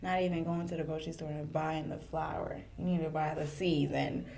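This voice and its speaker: A young woman whose first language is French, a podcast guest chatting casually and thinking aloud with pauses. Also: deep voice, steady tone, creaky, background noise. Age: young adult. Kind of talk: casual chat.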